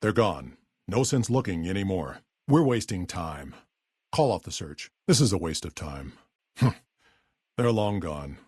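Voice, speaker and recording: An actor playing a weary, level-headed super mutant town leader in a video game, with a deep, gravelly, husky voice and a blunt, measured, authoritative manner. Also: male voice, big pitch swings, darkish tone, no room sound, clean studio sound, heavily acted. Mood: wary, searching. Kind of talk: video game character voice lines.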